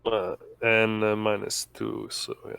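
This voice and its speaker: Deep, slow male tabletop player. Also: flat delivery, vocal fry, a bit bright. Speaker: player in a tabletop roleplaying game. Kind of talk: casual chat.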